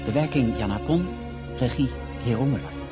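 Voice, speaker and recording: A man announcing a radio play in a measured, formal way. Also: medium pitch. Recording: loud music under the voice.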